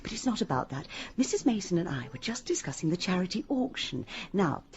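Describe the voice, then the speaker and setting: A genteel, lowish-voiced church lady. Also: sing-song, fairly quick, darkish tone, dull audio. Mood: tactful. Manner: genteel, polite, diplomatic. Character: gentle, gracious, tactful village church lady. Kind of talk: radio drama acting.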